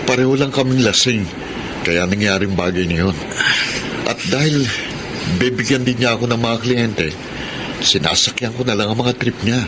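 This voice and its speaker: An actor playing a self-assured, money-minded young accountant in a Filipino radio drama, speaking casually. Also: male voice, mid-range voice, normal speed, long pauses, noisy background, lightly acted. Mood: offhand. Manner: casual, confident. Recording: studio radio drama over loud music.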